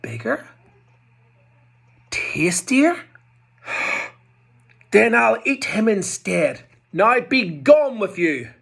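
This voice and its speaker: Man playing a greedy storybook troll, roaring, husky, loud and lively. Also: sits high, drawn-out words, pauses often, voice pushed up, heavily acted. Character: greedy hungry male troll under a bridge.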